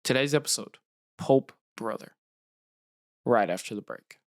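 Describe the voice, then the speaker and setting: A man's voice: medium pitch, expressive, no room sound, clean studio sound. Man narrating a podcast story. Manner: measured storytelling delivery. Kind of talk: scripted narration.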